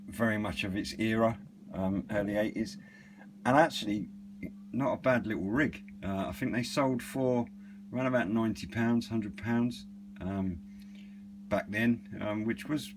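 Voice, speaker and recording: A middle-aged British man giving a casual, unscripted product demonstration in a hesitant, understated, meandering way. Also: on the low side. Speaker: radio hobbyist and reviewer. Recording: clearly noisy with a steady hum.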